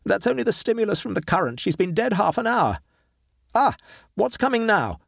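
A man's voice: high voice, moderate pace, vocal fry, husky, no echo, clean studio sound, pitched above the performer's own voice, full character voice. A man doing an eager, ruthless scientist. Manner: urgent and excited.

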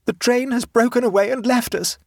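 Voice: mid-range voice, sing-song, fairly quick, breathy edge, no room sound, clean studio sound, voice pushed up. A narrator voicing an anxious, dramatic male train traveller in a storybook, urgent and tremulous. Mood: alarmed.

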